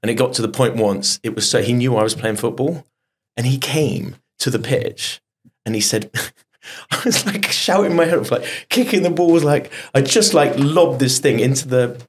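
A middle-aged man, a stage performer, telling animated stories in a casual interview, often restarting his sentences. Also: mid-range voice, slightly rough. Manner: animated anecdotes with quick restarts. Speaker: guest performer.

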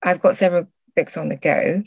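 Low-voiced, thoughtful woman in a dry recording. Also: steady tone, brisk, vocal fry, a little mellow, slightly rough, no room sound. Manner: hesitant, searching for words, thoughtful. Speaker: guest, literary translator. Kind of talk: reflective interview chat.

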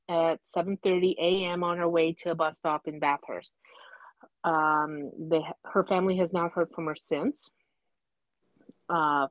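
Podcast co-host, woman, slow and hesitant, low voice, close dry sound. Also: no room sound, dull audio. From Canada. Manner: hesitant, lots of ums and trailing sentences. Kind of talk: casual chat.

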